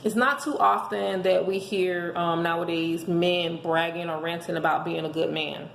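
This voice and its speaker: A hoarse woman giving an emphatic, preachy motivational monologue, speaking as a host handing out relationship advice to listeners. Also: fairly low pitch, steady tone, normal speed. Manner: emphatic, preachy, rhetorical questions. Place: indoors at home. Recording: echoey room with faint background noise.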